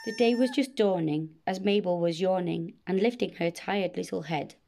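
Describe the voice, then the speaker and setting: A woman reading a rhyming children's bedtime story in a measured, expressive way. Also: fairly low pitch, gravelly, a little hiss or hum. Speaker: storybook reader.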